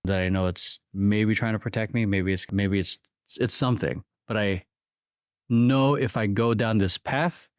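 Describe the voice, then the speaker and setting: A man's voice: on the low side, a little slow, clear, resonant tone, no room sound, clean studio sound. A man in his thirties from the Philippines, speaking as a podcast guest in a hesitant, reflective way with many restarts. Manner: hesitant, restarts often, thinks aloud. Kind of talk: casual reflective interview.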